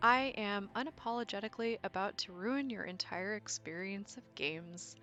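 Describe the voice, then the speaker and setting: Female video essayist, medium register, clear explanatory scripted delivery over a music bed. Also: mid-range voice, normal speed, sharp tone. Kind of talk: scripted lore commentary narration.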